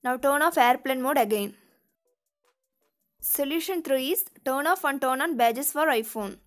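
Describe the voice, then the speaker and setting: A woman's voice: sits high, measured pace. A woman narrating a scripted step-by-step tutorial as the channel's host. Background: background music.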